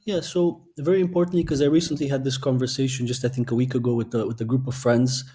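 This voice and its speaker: Man, mellow warm tone, podcast guest, thoughtful, long explanations, frequent restarts. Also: medium pitch, fairly even intonation, fast, slightly rough. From Romania. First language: Romanian. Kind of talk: casual interview talk.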